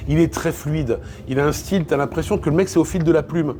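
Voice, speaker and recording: A man's voice: fairly high pitch, quick pace, warm tone, a little hiss or hum. A man talking casually as an interview guest, opinionated and colloquial, with emphatic repetitions. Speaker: interview guest, literature video creator.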